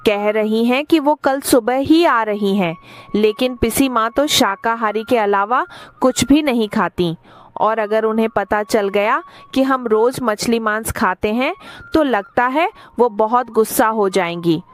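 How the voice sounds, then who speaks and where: A woman's voice: on the high side, a little slow, close to an everyday voice. A woman voicing a fretful, dutiful village mother who explains things in a cartoon story. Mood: exasperated, worried. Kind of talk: Hindi cartoon story dubbing.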